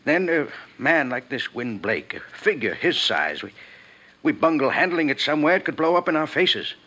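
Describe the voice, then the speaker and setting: A man's voice: a little high, sing-song, moderate pace, pushed and bright, full character voice. A man playing an anxious, cautious, fatalistic private operative in a 1950s radio drama, fretful and hesitant. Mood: worried. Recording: muffled 1950s radio recording.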